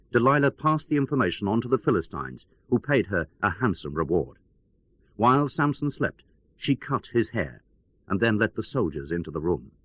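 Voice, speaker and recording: Guy acting as a radio reporter telling a story, wry n theatrical, bit husky, really up and down intonation. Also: lowish voice, no room sound, low-quality recording. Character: wry male radio correspondent recounting events as news. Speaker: news correspondent.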